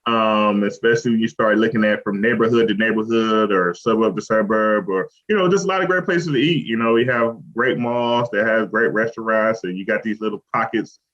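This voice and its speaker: A man who owns a restaurant, talking in a relaxed, rambling way with lots of fillers as an interview guest, from Cleveland. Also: lowish voice, brisk, hardly any pauses. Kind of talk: casual interview talk. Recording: lo-fi muffled audio.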